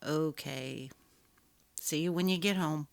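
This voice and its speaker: Woman as a neglected husband, low voice, earnest, gently reproachful, dramatic story reading, faint music. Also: slow pace, gravelly, heavily acted. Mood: caring. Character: Sam, patient, neglected, lovingly pleading husband and father.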